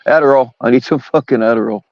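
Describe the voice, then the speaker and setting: A man's voice: mid-range voice, fast, no echo. A man in casual panel chat, talking in a rambling, crude way. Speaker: livestream panel member. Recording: dry, slightly dull lo-fi mic.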